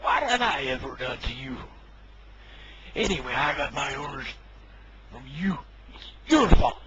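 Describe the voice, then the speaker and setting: A man playing a bossy, blustering military commander who is quick to blame, in an amateur audio drama. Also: medium pitch, big pitch swings, background noise. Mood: blaming.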